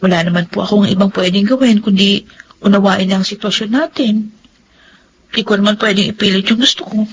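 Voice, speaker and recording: A woman playing a spirited, outspoken young working student in a Filipino drama, brisk and frank with advice. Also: medium pitch, steady tone, slight background noise, lightly acted, rough recording. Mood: patient, upbeat. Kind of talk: Filipino radio drama acting.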